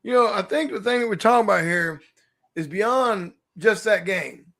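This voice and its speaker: Coach guy, high gravelly voice, thinks out loud about the rules. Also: expressive, normal speed. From Oklahoma. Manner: thoughtful, searching for words. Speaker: basketball coach. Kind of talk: casual sports chat.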